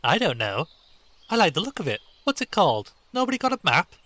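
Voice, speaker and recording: Cheeky, scornful schoolboy scout done by a male narrator, raised high and loud, sneering. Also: wildly swooping pitch, fairly quick, no echo, full character voice. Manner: insolent, sneering.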